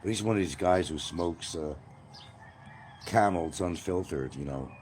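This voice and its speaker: A man's voice: low-pitched, unhurried, darkish tone, a little hiss or hum. A man hosting a rambling livestream outdoors by a field, answering comments in a blunt, combative way. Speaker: livestream host.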